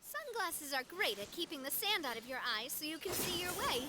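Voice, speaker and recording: An actress voicing a small, chirpy, talkative childlike companion in a video game. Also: female voice, extremely high pitch, very animated, fairly quick, very noisy.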